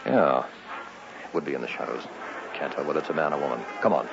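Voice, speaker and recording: A man's voice: very deep voice, fast talker, creaky, noisy background. An actor in an old-time radio drama playing a troubled, curt man who fears he is being hunted. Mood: evasive, curt. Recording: muffled vintage radio recording, music behind.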